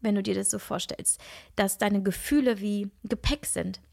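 Nasal-sounding woman with a gravelly edge. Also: mid-range voice, normal speed, no room sound, clean studio sound. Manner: earnest, searching, many restarts and soft asides. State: nasal from a cold. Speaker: podcast host and coach. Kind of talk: reflective solo podcast monologue.

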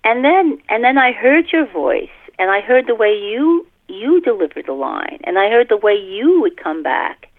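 A middle-aged woman talking casually in a podcast interview as an author, halting and often repeating and rephrasing her phrases. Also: on the high side, very animated. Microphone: telephone. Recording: muffled, tinny telephone line.

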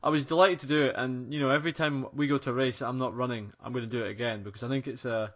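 A man's voice: medium pitch, fairly even intonation, racing, a bit bright, dull audio. A man co-hosting a running podcast and interviewing a guest, chatty and rambling in casual chat.

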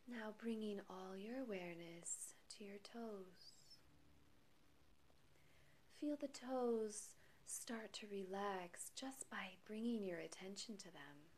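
A woman leading a guided meditation in a soft, breathy voice, calm and soothing, with long pauses between phrases. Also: medium pitch, slight background noise. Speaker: meditation guide and podcast host.

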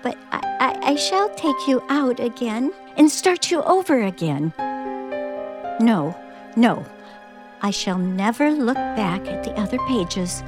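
Music plays behind a high, slow-speaking woman, frightened and coming unhinged. She is halting and dramatic, circling back over her phrases as in a suspense radio play. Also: big pitch swings. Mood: fragile, dazed. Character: frightened, unhinged woman, now giddy and triumphant. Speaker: actor.

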